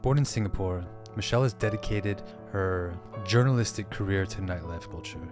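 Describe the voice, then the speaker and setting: A male podcast host reads a friendly scripted introduction in a very low voice. Guitar music plays clearly underneath him. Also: a little fast.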